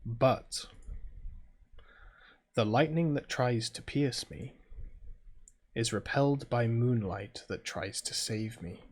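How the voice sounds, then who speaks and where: Low-key British man. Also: slow, pauses often, a little mellow, husky. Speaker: gaming video host playing a visual novel. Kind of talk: casual playthrough chat and reading game text aloud.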